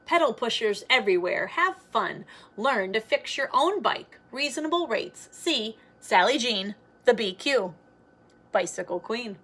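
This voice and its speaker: A plucky young storybook girl, voiced by a woman reading aloud. She is resourceful and spirited, declaiming in a sing-song way. The voice is bright and swings widely in pitch, at an unhurried pace. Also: lively intonation. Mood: proud, eager.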